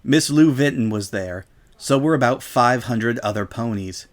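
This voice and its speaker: A man's voice: mid-range voice, lively intonation, unhurried. A man reading scripted story narration as the narrator of a dramatic reading.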